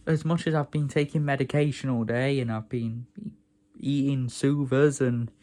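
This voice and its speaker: A young man from England, hoarse from a sore throat, rambling and grumbling in a casual vlog rant. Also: mid-range voice, a little mellow. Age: young adult. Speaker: vlogger.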